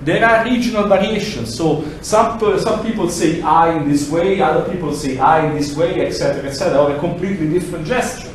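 A middle-aged man with an Italian accent giving a lively technical presentation in a lecture room, acting out examples as he goes. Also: a little high, brisk, few pauses, a little hiss or hum. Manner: animated, gesturing, acts out examples in quoted voices. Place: large lecture room, indoors. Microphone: far camera, no mic. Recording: echoey room from far off.

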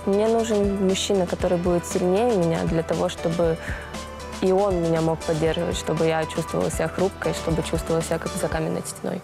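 A woman's voice: on the low side, fairly even intonation, quick pace, gravelly, over music. A twenty-year-old woman student introducing herself in a dating show interview.

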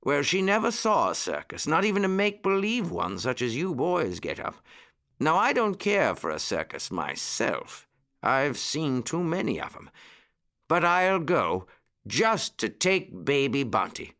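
Man playing a fussy old rabbit gentleman, lively pitch. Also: a little slow, heavily acted. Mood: relenting.

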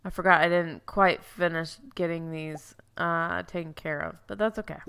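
Stuffy, gravelly-voiced woman gaming. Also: fairly low pitch, steady tone, normal speed, a bit bright. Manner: chatty, self-correcting, thinking aloud. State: stuffy, congested. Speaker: let's play host. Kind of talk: casual gameplay commentary.

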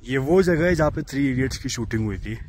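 A man making a casual travel vlog outdoors, excitedly pointing out the road, trucks and lake to his viewers while riding and filming. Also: medium pitch, quick pace, slight background noise. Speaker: travel vlogger. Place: outdoors on mountain roads and lakeside. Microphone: handheld camera, often riding a bike.

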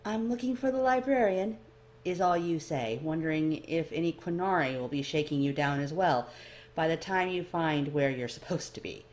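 A woman reading fan fiction aloud as expressive scripted story narration. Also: sits low. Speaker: amateur fan fiction reader.

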